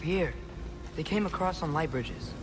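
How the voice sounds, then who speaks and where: A man plays a teenage boy adventurer in a video game. The voice is youthful and on the high side, earnest, curious and plain-spoken. Music runs clearly behind it. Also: unhurried, lightly acted.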